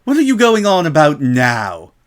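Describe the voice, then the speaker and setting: A man's voice: fairly high pitch, huge pitch swings, normal speed, pitched above the performer's own voice. A man voicing a haughty, furious female unicorn shop owner who seethes through clenched teeth. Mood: tense, irritated.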